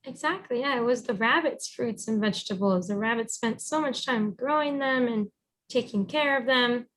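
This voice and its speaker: Higher-voiced woman teaching through a read-aloud. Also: moderate pace, fairly bright tone, rough recording. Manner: encouraging, instructive. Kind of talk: storybook reading with guiding questions.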